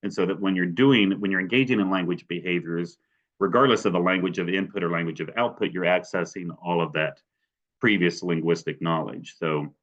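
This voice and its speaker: A man's voice: low voice, fairly quick, fairly bright tone, lo-fi sound. A man from West Virginia giving an academic webinar talk, hedging often with fillers like "sort of" and "kind of" and restarting his sentences. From West Virginia, USA. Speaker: presenter.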